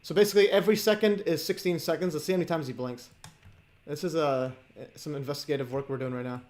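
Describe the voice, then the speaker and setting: A man hosting a livestream, chatting casually with many fillers and pauses. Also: a little high, fairly even intonation, darkish tone. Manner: filler-heavy, chatty, laughs often. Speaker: host.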